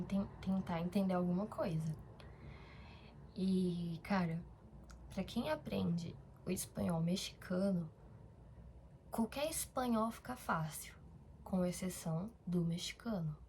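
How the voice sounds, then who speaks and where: A woman's voice: on the low side, darkish tone, a little hiss or hum. A young woman from Brazil talking casually in a diary-style vlog, rambling, with fillers and self-corrections. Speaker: vlogger.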